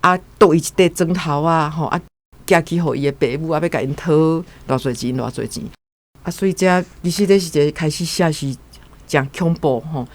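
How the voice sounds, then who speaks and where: A man's voice: a little high, a little fast. A man with a Taiwanese accent giving casual commentary on a story as a guest.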